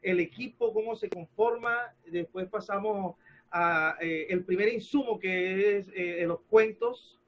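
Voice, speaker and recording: Halting, high-voiced man hosting. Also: sing-song, dull audio, a little hiss or hum. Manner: halting, searching for words. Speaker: host, interviewer. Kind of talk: spontaneous panel interview.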